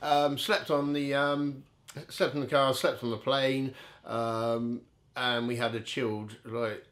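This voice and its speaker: A man's voice: mid-range voice, level tone, slow, a bit bright. A middle-aged man telling casual anecdotes as a bodybuilder guest in an interview, candid, jokey and self-deprecating.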